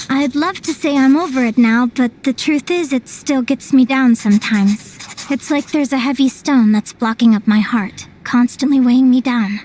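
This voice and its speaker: A woman playing a sweet, wistful young woman in a video game, gentle and hesitant in her delivery. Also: high-pitched, a little slow, slight background noise, poor audio. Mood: wistful, weary. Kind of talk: video game story voice acting.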